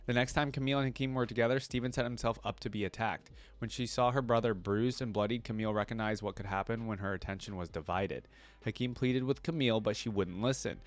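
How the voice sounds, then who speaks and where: A man's voice: mid-range voice, no room sound. A man hosting a reaction video, reading lore aloud and adding casual, rambling commentary.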